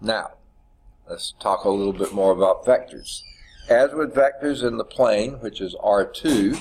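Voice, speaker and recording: A man's voice: lowish voice, unhurried, a little mellow. Stuffed-up man giving a math lecture. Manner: conversational, repeats points, says okay often. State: stuffed up from sneezing. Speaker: math instructor. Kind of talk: classroom lecture. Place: classroom.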